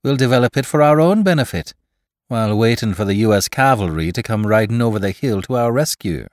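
A man's voice: mid-range voice, sing-song, moderate pace, no room sound, studio quality, pitched above the performer's own voice, close to an everyday voice. A man voicing a big, burly, joking adventurer with a boisterous, bantering manner in a dramatised story. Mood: playfully dramatic. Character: big burly Irishman with a gun, joking, blustering in danger. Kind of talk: dramatised audiobook character voice.

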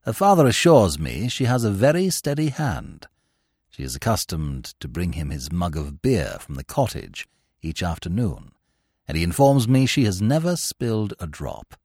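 A man voicing an imperturbable, deferential valet who speaks low, hushed and measured. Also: sing-song, slightly rough, dry recording, studio quality, heavily acted. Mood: composed. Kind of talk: audiobook character voice.

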